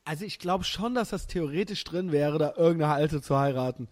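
A German man in his thirties hosting a podcast, chatting casually and telling animated, slangy stories with frequent laughter. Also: fairly high pitch, brisk. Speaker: podcast host. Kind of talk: casual chat.

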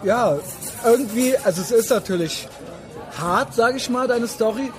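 A man's voice: extremely high pitch, moderate pace. A man interviewing someone in a casual, colloquial way, often putting in short words of agreement. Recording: music and crowd noise behind.

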